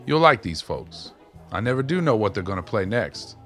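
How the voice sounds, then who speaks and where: Radio drama: male actor as genial old well-connected gent, low pitch, unhurried folksy charm. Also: sing-song, fairly bright tone, soft background music. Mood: easygoing, wry. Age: older. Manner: courtly, folksy, unhurried old-time phrasing. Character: dapper old man, genial, knowing, well-connected fixer. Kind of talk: radio play acting.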